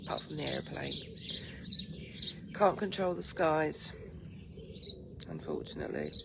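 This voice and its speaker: A slow-talking woman with an English accent and a very gravelly, croaky morning voice. She chats sleepily and easily to viewers on her phone. Loud birdsong fills the outdoor air around her. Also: low-pitched, heavy background noise. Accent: English, Lincolnshire. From England. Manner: relaxed, rambling, warm-hearted chatter with many ums. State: just woken, sleepy. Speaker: vlogger greeting live viewers. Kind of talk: casual chatty morning livestream. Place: outdoors in a garden. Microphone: phone camera. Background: birdsong and light breeze.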